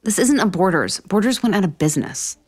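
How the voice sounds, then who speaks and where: An actress playing a flustered, self-deprecating author in a romantic comedy audio drama, with an American accent and halting, deadpan asides. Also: female voice, medium pitch, natural voice. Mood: awkward, exasperated. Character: flustered, sardonic, self-deprecating woman author on book tour.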